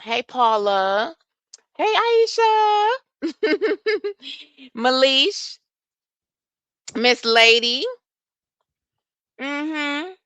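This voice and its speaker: A woman hosting a casual livestream chat, reading and answering viewer comments. She has a soft voice and speech, and she talks in an animated way, cursing freely. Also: high voice, drawn-out words, pauses often, dry recording. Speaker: livestream host.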